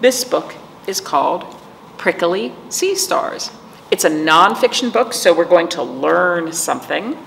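A woman's voice: on the low side, expressive, measured pace, a bit bright. A middle-aged woman hosting storytime, chatting and explaining things to young children with frequent questions. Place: indoor library room with decorated wall. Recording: echoey room with clear background noise.

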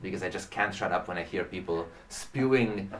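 Low-voiced young Romanian man, distant mic. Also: moderate pace, a bit bright. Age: young adult. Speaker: podcast guest, classical guitarist. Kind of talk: casual conversational interview. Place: small indoor room with curtain and shelves. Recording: distant microphone in a room.

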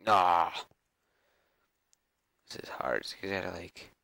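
A young man with a cold and a raspy, scratchy voice, mumbling casual commentary to himself while playing a game. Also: low voice, takes it slowly, pushed and bright, no echo. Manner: mumbling, self-talk with frequent fillers and repeats. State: hoarse with a cold. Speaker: gameplay video creator.

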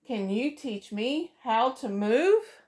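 A woman's voice: fairly high pitch, lively intonation, takes it slowly, a little mellow. A middle-aged woman, a preschool teacher, reading a picture book aloud to children in a sing-song way, voicing each character, recorded close up in a small room.

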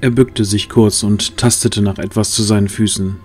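Casting: male narrator for fantasy audio play, lowish voice, measured storytelling, light music bed. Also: level tone, darkish tone.

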